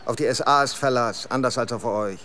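An actor playing a gruff, impatient paramilitary commander in a radio play, curt and pompous. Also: male voice, mid-range voice, a little fast, bright, forceful tone, a little hiss or hum, close to an everyday voice. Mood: irritated, dismissive.

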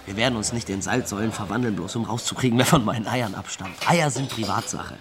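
An actor playing an awkward, loyal young small-time thief in a radio crime drama, defensive and plain-spoken. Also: male voice, lowish voice, fast talker, a crowd in the background, close to an everyday voice. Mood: wry. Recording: music behind the voice.

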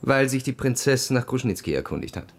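An actor playing a proud, bored, cynical army officer who tells his story in a cool, measured, ironic way for a radio play. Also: medium pitch, sing-song, no room sound. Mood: scheming. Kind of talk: literary radio play narration and dialogue.

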